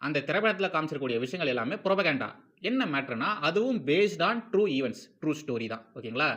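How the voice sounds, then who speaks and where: Male commentary host, Tamil Nadu accent. Very lively, slightly high pitch, emphatic, rhetorical questions, informal. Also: brisk. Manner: emphatic, repeats phrases, asks rhetorical questions; mixes English phrases into talk. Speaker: host of a film commentary series. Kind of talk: informal opinionated video commentary.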